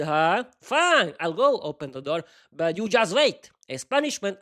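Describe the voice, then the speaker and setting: A man's voice: high-pitched, a bit bright. Male reader as a snappy, domineering young woman. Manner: bossy, snapping. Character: bossy young woman, sharp-tongued.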